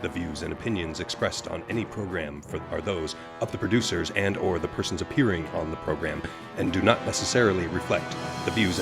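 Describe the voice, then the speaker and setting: A man's voice: low-pitched, creaky. A man giving dramatic scripted narration as the announcer of an old-time pulp radio drama. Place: studio live performance. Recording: loud music under the voice.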